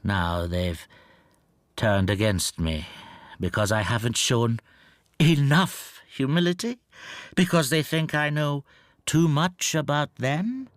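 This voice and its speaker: An actor playing a timid foreign stamp dealer in a radio drama, speaking softly and brooding. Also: male voice, mid-range voice, lively intonation, slow pace, no room sound. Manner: soft, subdued, brooding.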